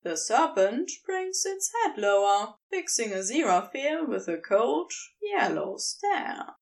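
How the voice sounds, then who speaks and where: Slow, high-voiced woman narrating a story. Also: big pitch swings, creaky. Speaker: podfic narrator reading fan fiction. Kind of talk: scripted narration, audiobook-style storytelling.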